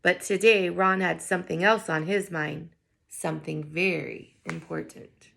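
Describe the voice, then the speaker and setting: A woman reading a picture book aloud to children in an expressive way, pausing to think out loud in wondering asides. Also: on the low side, vocal fry, slight background noise. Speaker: read-aloud teacher.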